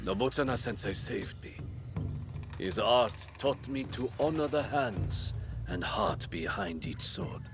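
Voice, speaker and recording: An actor playing a proud, remorseful samurai duelist in a video game, speaking formally and with measured weight. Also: male voice, medium pitch, animated, music in the background. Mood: solemn, reflective. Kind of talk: dramatic video game acting.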